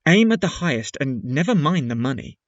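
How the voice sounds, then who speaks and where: A man playing a thoughtful, high-minded father giving earnest advice in a period audio drama. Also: sing-song, a little fast, no echo, dull audio. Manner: earnest, advising.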